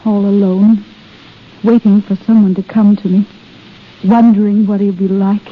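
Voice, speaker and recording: A woman's voice: mid-range voice, flat delivery, moderate pace, slightly rough, close to an everyday voice. An actress playing a lonely, tender, fragile young expectant mother in earnest, theatrical 1930s radio drama style. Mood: wistful, brave. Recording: thin, phone-like 1930s radio recording.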